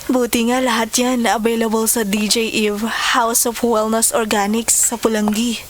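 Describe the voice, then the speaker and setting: Woman acting a high-voiced, patient, caring stepmother. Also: little pitch movement, moderate pace, a little hiss or hum, natural voice. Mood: affectionate. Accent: Filipino. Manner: gentle, soothing. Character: patient, caring, conciliatory stepmother and doting partner. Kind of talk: audio drama acting.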